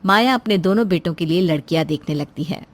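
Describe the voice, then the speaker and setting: A woman's voice: fairly low pitch, lively intonation, fairly quick. A woman narrating a scripted family moral story as its storyteller.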